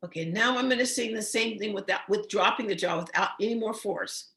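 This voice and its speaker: Hoarse woman teaching singing online. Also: mid-range voice, a little fast, a bit bright. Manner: encouraging, checks in with frequent "okay?". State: slightly hoarse, froggy voice.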